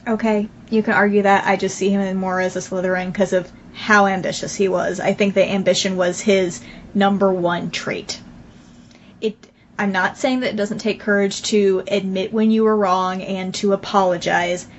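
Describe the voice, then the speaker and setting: A woman's voice: medium pitch, flat delivery, normal speed. A woman co-hosting a podcast in casual chat, teasing and stubbornly arguing her side. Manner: teasing, stubborn, laughs often. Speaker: podcast co-host. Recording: dull lo-fi audio with faint background noise.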